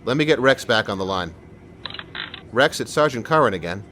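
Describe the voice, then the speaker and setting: A man playing a calm, sincere and determined police sergeant in an audio drama. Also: fairly low pitch, normal speed, slight background noise. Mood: calm, persuasive.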